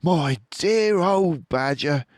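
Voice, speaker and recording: A man's voice: fairly high pitch, animated, slow pace, no room sound, studio quality, voice pushed up. A man voicing a confident, generous, scheming male fox for a children's storybook, grandly persuasive and savouring his words.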